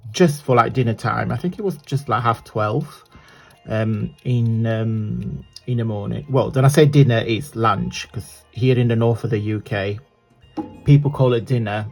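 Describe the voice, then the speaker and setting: Crafting host, male, croaky and husky, rambling chat over faint music. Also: medium pitch, a little mellow. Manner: rambling and chatty, many fillers and restarts. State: croaky morning voice. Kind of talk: casual chat while crafting. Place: home craft table, indoors.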